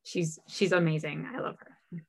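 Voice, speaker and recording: A young woman speaks with eager enthusiasm, pausing often on fillers and restarting her sentences. Her voice sits a little low for a woman. The tone is casual and conversational. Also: steady tone, vocal fry, husky.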